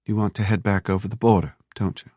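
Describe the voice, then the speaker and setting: A man's voice: on the low side, fairly even intonation, quick pace, darkish tone, no echo, pitched above the performer's own voice, lightly acted. A man voicing a practical young woman, speaking in a dry, firm and teasing way in an audiobook thriller.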